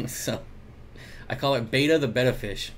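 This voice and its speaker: A man's voice: medium pitch, normal speed, a little hiss or hum. Chatty man hosting a fish livestream. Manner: chatty, reads viewer comments aloud, jokey asides. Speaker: aquarium livestream host. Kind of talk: casual livestream chat reading comments. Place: home room with aquariums. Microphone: desk mic at computer.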